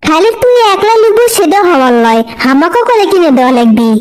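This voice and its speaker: A woman's voice: very high-pitched, sing-song, fast, darkish tone, slight background noise. A woman voicing a blunt, skeptical young girl in a comic cartoon, scoffing and questioning in a northern Bangladeshi accent. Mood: scoffing, demanding. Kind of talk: comic cartoon dialogue in rural dialect.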